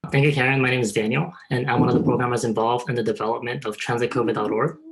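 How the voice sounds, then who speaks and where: A man's voice: medium pitch, rough recording. A man who works as a programmer, giving a prepared, orderly and polite walkthrough of a website in an online webinar. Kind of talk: software demo walkthrough, prepared explanation. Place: online video call.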